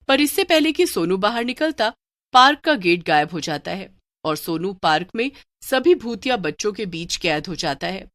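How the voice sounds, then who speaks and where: A woman's voice: medium pitch, fairly bright tone, dry recording, clean studio sound. A woman narrating a scripted cartoon horror story as a storyteller.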